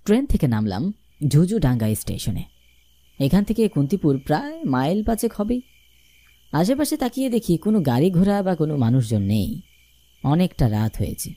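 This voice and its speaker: A man narrating a scripted story in the first person, expressive and dramatic. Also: a little high, normal speed, no echo. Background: music.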